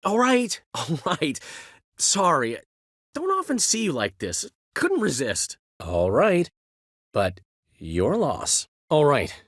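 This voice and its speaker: A man's voice: on the high side, huge pitch swings, slow pace, a bit bright, dry recording, studio quality, exaggerated voice. An actor playing a flamboyant, talkative male bard in a video game, witty and theatrical. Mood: teasing. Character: flamboyant, chatty middle-aged male bard and poet. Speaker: game character.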